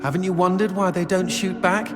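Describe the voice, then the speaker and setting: An actor playing a wisecracking talking demon skull in a video game, with a florid, droll storyteller's delivery. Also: male voice, high voice, flat delivery, brisk, fairly bright tone, faint music behind. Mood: cheeky. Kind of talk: scripted video game acting.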